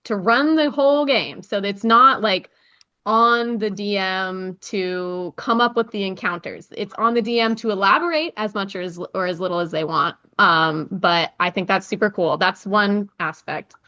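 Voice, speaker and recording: A woman's voice: mid-range voice, normal speed, bright, forceful tone, lo-fi sound. A woman hosting a casual interview podcast, speaking in an enthusiastic, affirming way. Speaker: podcast host interviewing a guest.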